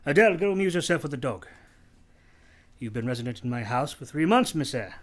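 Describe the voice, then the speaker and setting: An actor playing a proud, brusque gentleman master of a house in a radio play, with a curt, imperious and halting manner. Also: medium pitch, animated, close to an everyday voice. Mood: stern, appraising.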